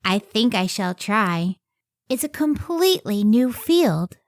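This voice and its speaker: A woman's voice: medium pitch, big pitch swings, slow pace. A woman playing a thoughtful, dutiful young woman scientist in an old-time radio drama style. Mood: intent curiosity.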